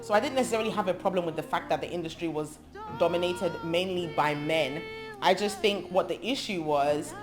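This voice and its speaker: Low-voiced woman over music. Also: fairly quick. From England. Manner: thoughtful, long lists with frequent ums. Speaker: gospel singer. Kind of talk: interview monologue about her life. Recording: music playing under her voice. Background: background music.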